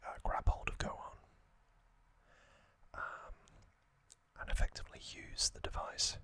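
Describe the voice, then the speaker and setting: A man hosting an ASMR channel, speaking entirely in a soft unvoiced whisper close to the microphone, gentle and unhurried with frequent soft hesitations. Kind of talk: whispered ASMR trivia recap.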